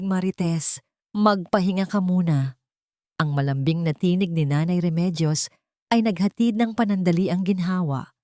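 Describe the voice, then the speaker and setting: A woman reading a scripted dramatic story in a measured, sentimental storytelling manner. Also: lowish voice, a little mellow, no echo, studio quality. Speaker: storyteller narrator.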